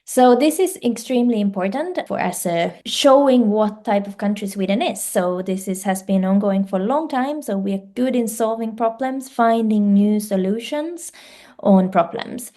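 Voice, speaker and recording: Mellow-voiced woman, hesitant. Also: mid-range voice, moderate pace. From Finland. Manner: fluent second-language speaker, hesitant fillers and restarts. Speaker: guest, life science director. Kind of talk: podcast interview.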